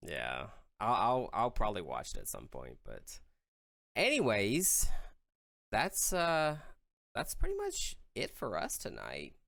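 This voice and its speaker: A man's voice: lowish voice, huge pitch swings, takes it slowly, gravelly, fairly bright tone. A man chatting casually as a podcast co-host, with a hesitant, rambling delivery. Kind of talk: casual chat.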